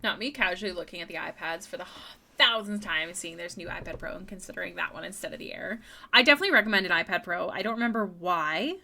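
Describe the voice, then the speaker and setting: A woman's voice: lowish voice, fast talker, vocal fry, pushed and bright. A young woman streaming her artwork, chatting casually with viewers and often stumbling over and repeating her words. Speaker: streaming artist. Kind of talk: casual live-stream chat while drawing.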